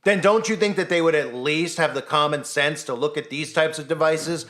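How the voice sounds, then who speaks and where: A man's voice: on the high side, moderate pace, a bit bright. A man delivering an opinionated news commentary monologue as an independent video host, insistent and full of rhetorical questions.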